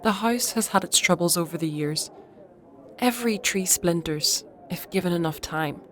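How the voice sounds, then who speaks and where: A woman playing a steady, caring and gently teasing confidante in a dark fantasy audio drama, speaking calmly and sincerely. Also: lowish voice, normal speed, natural voice. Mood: somber.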